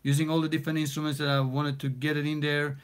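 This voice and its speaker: A man's voice: on the high side, flat delivery, fast. Chatty foreign-accented man in a studio. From Australia. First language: not English. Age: 40s. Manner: chatty, reads out viewer comments with many fillers. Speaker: studio owner live-streaming. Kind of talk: casual live-stream chat. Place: small home recording studio, indoors. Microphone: phone camera at arm's length.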